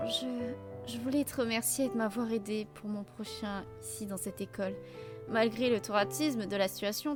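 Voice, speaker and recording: A woman's voice: fairly high pitch, close to an everyday voice. A woman voicing a sweet, sensitive, earnest and hesitant teenage schoolgirl in an amateur cartoon dub. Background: music.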